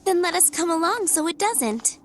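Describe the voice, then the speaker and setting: An actress playing a gentle, earnest young woman in a video game, soft and polite in manner. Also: extremely high pitch, very animated, moderate pace, slight background noise. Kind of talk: video game cutscene acting.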